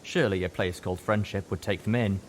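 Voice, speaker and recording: A man reading a scripted story aloud as an expressive audiobook narrator. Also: sits low, fast, a little hiss or hum, poor audio.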